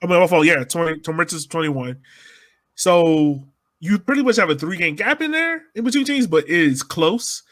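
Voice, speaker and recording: A man with a fairly high, very bright voice hosts a sports podcast. He talks casually, like he is chatting with fellow fans. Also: lively intonation, normal speed.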